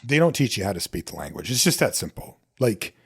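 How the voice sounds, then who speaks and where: A man's voice: fairly low pitch, very animated, brisk, gravelly, slightly rough. A middle-aged American man hosting a podcast, talking casually and conversationally as he answers questions and tells personal stories. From United States. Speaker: podcast host. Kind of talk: casual solo talk answering listener questions.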